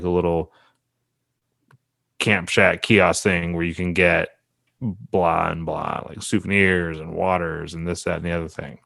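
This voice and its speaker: Man, podcast co-host, low gravelly voice, dry close sound, rambling easygoing talk. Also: no room sound. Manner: easygoing, rambling, trails off in fillers. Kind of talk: casual podcast banter.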